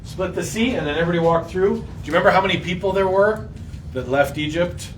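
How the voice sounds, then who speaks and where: A man's voice: high-pitched, fast talker. A man leading a casual, interactive Bible lesson in a playful, slangy way, asking the group lots of questions. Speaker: group Bible reading leader. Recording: roomy echo, distant mic, faint noise.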